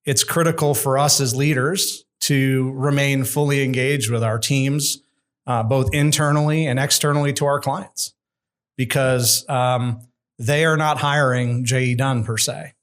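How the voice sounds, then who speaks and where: A middle-aged man, a construction executive, speaking in a measured, candid and thoughtful way as a guest in an interview. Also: medium pitch, fairly even intonation. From United States. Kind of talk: conversational interview.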